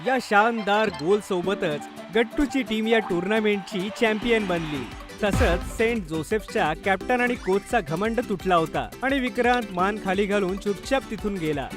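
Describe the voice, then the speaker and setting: A man with a notably high-pitched voice narrates a scripted story for children. Music plays clearly behind his storytelling. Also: moderate pace, few pauses.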